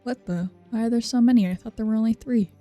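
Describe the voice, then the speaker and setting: A woman's voice: on the high side, fast talker, mellow. A woman giving casual game playthrough commentary, muttering offhand reactions to herself. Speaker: game streamer. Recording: game music behind the voice.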